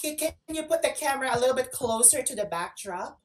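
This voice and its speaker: A woman's voice: high voice, lively intonation, a little fast. A woman co-hosting a casual online chat show, asking questions in an inquisitive, emphatic way. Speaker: online radio show co-host.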